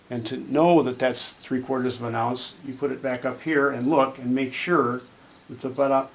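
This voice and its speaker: A man's voice: mid-range voice, creaky, a bit bright, rough recording. A man explaining a hands-on process step by step in an informal, matter-of-fact demonstration style. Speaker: hobbyist demonstrator.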